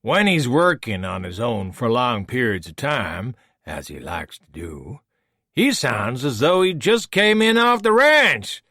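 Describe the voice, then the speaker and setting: A man's voice: medium pitch, sing-song, unhurried, bright, forceful tone, no echo, studio quality, pitched above the performer's own voice, exaggerated voice. A man voicing a smug, scheming male villain who taunts and mocks. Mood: taunting. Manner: taunting, mocking.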